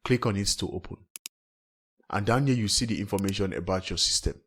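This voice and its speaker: A man narrating a scripted step-by-step software tutorial as a tech host. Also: fairly low pitch, fairly quick, slightly rough.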